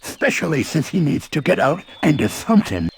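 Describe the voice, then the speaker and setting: A man's voice: a little high, very animated, a little fast, slight background noise. A man voicing a bouncy, brash toy tiger in a children's storybook, chummy and emphatic. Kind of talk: children's storybook read-aloud.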